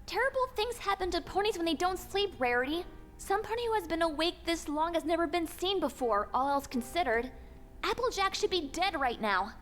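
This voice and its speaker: A woman plays a young female pony weighed down by guilt. The voice is very high and lively in its swings, fretful and earnest, in a dramatic storybook style, with faint music behind. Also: brisk. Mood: anxious, grim. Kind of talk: dramatic fan-fiction audio reading.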